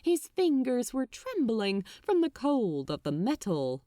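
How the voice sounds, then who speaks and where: A woman reading fiction aloud as narrator, with dramatic, deliberate phrasing and an expressive storytelling style. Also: fairly high pitch, no room sound, studio quality.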